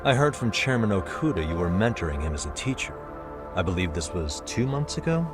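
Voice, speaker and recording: An actor playing a cool, probing private detective with a wry, measured delivery in a video game cutscene. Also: male voice, deep voice, big pitch swings, over music. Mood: polite, questioning. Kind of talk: video game cutscene acting, English dub.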